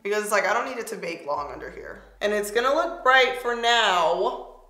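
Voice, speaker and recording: A young woman talks her way through a makeup routine in a loose, playful way, her voice slightly hoarse and squeaky. She sits close to the camera in a small home studio. The sound carries a noticeable room echo. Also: lively intonation, moderate pace, pushed and bright. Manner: chatty, playful, talks while applying makeup. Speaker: beauty vlogger. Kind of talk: casual makeup tutorial chat. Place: home studio, pink backdrop.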